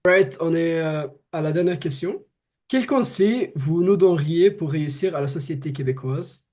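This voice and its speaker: A man conducts an interview for a school project, reading each question from his script. His voice is mellow and sits somewhat high for a man. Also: moderate pace. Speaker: student interviewer.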